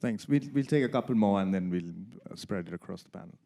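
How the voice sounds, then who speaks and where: A man moderates a conference panel and moves things along briskly. His voice sits somewhat low. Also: lively intonation, gravelly, warm tone, slight background noise. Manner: brisk, laughs between prompts.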